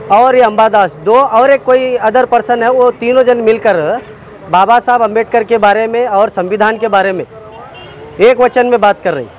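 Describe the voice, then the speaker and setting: A very high-pitched man with a Deccani accent delivers a protest statement as an activist spokesman. He is indignant and accusatory, repeating his demands for emphasis. Faint vehicle noise sits behind his voice. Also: low-quality recording.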